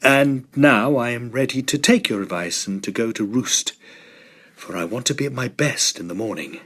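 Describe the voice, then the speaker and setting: A man playing a determined, agitated young gentleman in a Victorian horror drama, speaking earnestly and emphatically in formal phrases. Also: medium pitch, lively intonation, moderate pace. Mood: grave, resolute.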